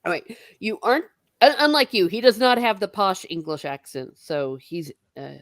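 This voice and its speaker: A woman's voice: mid-range voice, expressive, moderate pace, dry recording, studio quality. Chatty woman hosting a livestream. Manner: chatty, laughs often, reads chat comments aloud. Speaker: stream host. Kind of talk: casual livestream commentary. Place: her home.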